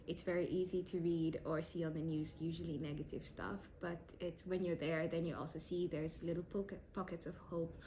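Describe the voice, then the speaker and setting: A woman's voice: on the low side, flat delivery, fairly quick, hardly any pauses, dark tone, slight background noise, rough recording. A young woman from South Sudan, raised in Kenya, answering interview questions thoughtfully with many fillers and restarts. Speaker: PhD candidate.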